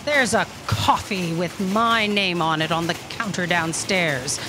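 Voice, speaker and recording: Woman playing slow, imperious lady boss. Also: fairly low pitch, music in the background, natural voice. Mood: bossy. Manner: commanding, curt, scornful. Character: imperious, impatient female boss of destiny office. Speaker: stage actor. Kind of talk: staged live audio drama. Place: theatre stage, indoors. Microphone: stand microphone. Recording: noisy live stage recording.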